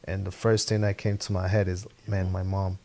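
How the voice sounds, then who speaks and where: A young man with a Spanish accent, speaking English as a second language as a guest in a relaxed interview, halting and repeating his words. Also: low voice. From Honduras. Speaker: podcast guest, former soccer player. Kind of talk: casual interview chat.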